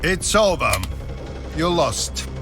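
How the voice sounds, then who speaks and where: An actor playing a ruthless, sneering military colonel in a video game cutscene, menacing and barking orders. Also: male voice, a little high, expressive, slow pace. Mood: commanding. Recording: loud music behind the voice.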